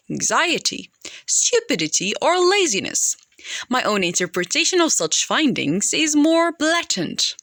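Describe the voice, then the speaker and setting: A woman's voice: sits high, a bit bright, dry recording, studio quality. A woman reading scripted audiobook narration.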